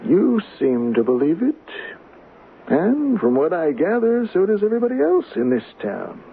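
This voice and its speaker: An actor playing a worldly war-hero colonel in an old radio drama, patient, assured and slightly patronizing. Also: male voice, high voice, wildly swooping pitch, normal speed, gravelly, husky, exaggerated voice. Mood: guarded. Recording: phone-like, noisy old radio recording.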